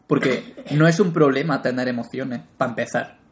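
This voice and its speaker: A young man co-hosting a casual podcast, joking and relaxed, talking between bites of cereal. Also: medium pitch, moderate pace. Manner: laid-back, jokey, eating cereal while talking. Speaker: podcast co-host.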